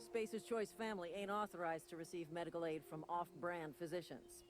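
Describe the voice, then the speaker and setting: An actor playing a wounded, officious frontier guard in a video game, folksy and earnest, reciting company patter in a drawl. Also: male voice, sits high, fairly even intonation, brisk, faint music behind, lightly acted. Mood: indignant. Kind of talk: video game character acting.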